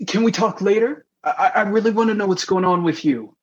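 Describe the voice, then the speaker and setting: A woman playing a hesitant, concerned ex-lover in a radio comedy, stammering anxiously and gently insisting, with a husky voice. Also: medium pitch, fairly quick, lo-fi sound, natural voice. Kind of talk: sci-fi comedy radio acting.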